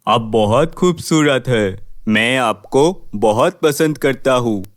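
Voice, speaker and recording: A man playing a doting, gentle husband in a cartoon fairy tale, speaking in an earnest, reassuring way. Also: medium pitch, slow pace, dry recording, studio quality, natural voice. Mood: loving. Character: handsome adult husband, doting, indulgent, gentle.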